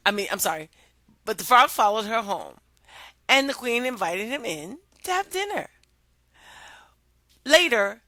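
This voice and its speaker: A woman telling and explaining a children's story as a reading teacher, in an expressive, deliberate manner. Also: mid-range voice, no room sound.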